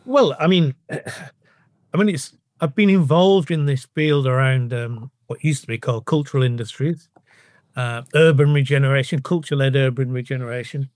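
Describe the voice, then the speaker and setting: A man's voice: medium pitch, moderate pace, darkish tone, no echo. A mature man from the North West of England speaks with a Northern English accent and a mid-range voice. He is a casual, discursive interview guest who often restarts his sentences. The recording is dry, with no room sound. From Bolton, North West England. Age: middle-aged or older. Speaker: podcast guest, author. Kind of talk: casual conversational interview answers.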